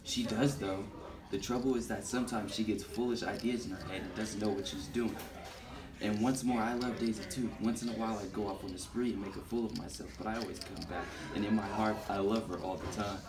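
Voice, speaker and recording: A man playing a blustering, jealous, wealthy husband in an amateur puppet show, indignant and loud in his protests. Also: lowish voice, steady tone, brisk, natural voice. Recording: roomy, from far off.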